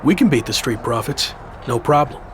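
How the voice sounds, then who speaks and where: An actor playing a cocky, ambitious young wrestler in a video game, speaking in an assertive, argumentative way. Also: male voice, mid-range voice, expressive, normal speed, slight background noise, close to an everyday voice. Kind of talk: scripted video game cutscene acting.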